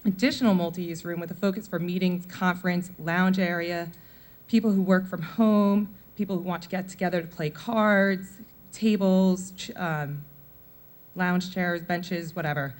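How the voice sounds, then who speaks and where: A woman in her forties, a mother of four, briskly reads a prepared public comment at a town meeting, running through lists of needs. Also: medium pitch. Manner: brisk, reading from notes with list-like delivery.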